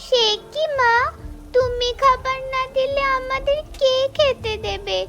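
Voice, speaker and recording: A woman's voice: very high voice, slow, music in the background, exaggerated voice. A woman voicing a patient, overworked young stepdaughter in a cartoon folk tale, plaintive and soothing.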